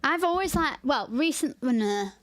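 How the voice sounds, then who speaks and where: Chatty Lancashire woman, fairly high. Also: expressive, no echo. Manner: chatty, open, quick to laugh. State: tired after a 24-hour stream. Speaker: podcast host. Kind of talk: casual chat.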